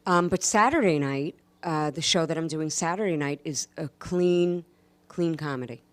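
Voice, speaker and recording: Low-voiced comedian from New York. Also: female voice, dry recording. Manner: lively, laughing, quick asides. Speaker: comedian guest. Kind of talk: casual radio chat.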